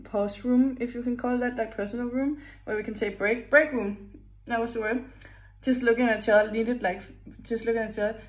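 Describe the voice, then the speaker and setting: Danish girl vlogging from her kitchen on her phone, kinda high voice, laughs a lot. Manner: chatty, laughs often, searches for English words. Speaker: vlogger, gym worker. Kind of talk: casual vlog chat. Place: kitchen at home, indoors. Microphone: phone at arm's length.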